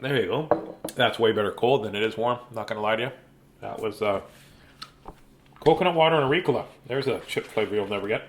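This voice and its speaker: A man's voice: fairly low pitch, a little fast, long pauses. A man chatting casually as host of a bedtime story reading, playful and self-deprecating, with joking asides.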